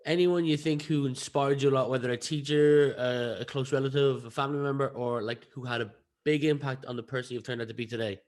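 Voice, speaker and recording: A man's voice: medium pitch, steady tone, a little fast, few pauses, darkish tone. An Irish man hosting a podcast, putting questions to a guest in a conversational interview style. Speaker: podcast host.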